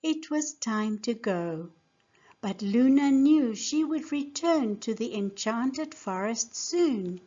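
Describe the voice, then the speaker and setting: A woman teacher giving a scripted lesson and narrating a storybook in a deliberate, simple way for young learners. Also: on the high side, expressive, mellow, lo-fi sound. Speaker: English teacher reading a children's story.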